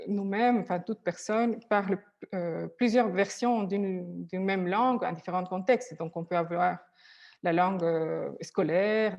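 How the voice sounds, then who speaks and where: A woman's voice: mid-range voice, darkish tone. A woman therapist whose first language is Spanish, speaking hesitantly with many restarts and fillers.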